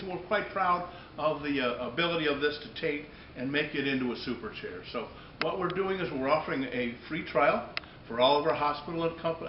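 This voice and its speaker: A middle-aged or older man giving an unscripted product demonstration, often repeating and rephrasing himself in a halting way. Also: fairly high pitch. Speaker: company presenter demonstrating a product. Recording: echoey room, dull muffled audio, noticeable background noise.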